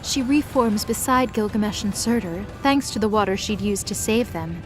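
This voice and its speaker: A woman's voice: medium pitch, moderate pace, soft background music. A woman giving dramatic scripted narration for a mythology audio story.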